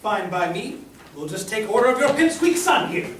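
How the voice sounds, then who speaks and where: Guy doing a sneery guard, high voice, bouncy lively delivery, echoey far mic. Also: normal speed. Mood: menacing. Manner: sneering, taunting. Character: smug, taunting male town guard. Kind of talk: table read acting. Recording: roomy room, distant mic, faint hiss.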